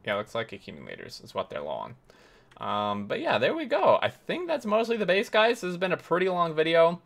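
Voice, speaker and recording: Hoarse, enthusiastic man touring a game base. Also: on the high side, wildly swooping pitch, a little fast, a bit bright. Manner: enthusiastic, rambling with many fillers. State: hoarse, losing his voice. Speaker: gaming video host. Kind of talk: casual game tour commentary.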